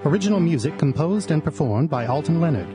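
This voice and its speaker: Male announcer for scripted credits, slightly high voice, music underneath. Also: lively intonation, fast.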